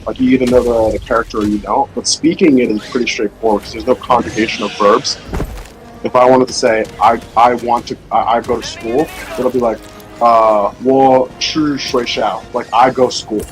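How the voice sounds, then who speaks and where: A man's voice: mid-range voice, fairly even intonation, normal speed, rough recording. A man chatting casually on a livestream, explaining things in an informal, hesitant, rambling way. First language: Somali. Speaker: livestream host. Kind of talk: casual chat, explaining language learning. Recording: music behind the voice.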